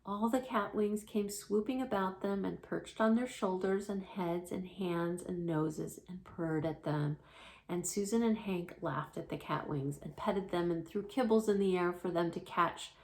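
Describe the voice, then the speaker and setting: A woman reading a storybook aloud for children in a gentle storytelling manner, like a children's librarian. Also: lowish voice, unhurried, poor audio.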